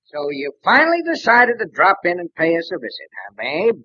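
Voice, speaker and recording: An actor playing a sarcastic, blustering American baseball manager who scolds in an old-time radio drama. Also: male voice, high voice, wildly swooping pitch, fairly quick, husky, full character voice. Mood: furious, sarcastic. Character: fuming, sarcastic little male baseball team manager. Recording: dull, lo-fi old radio recording.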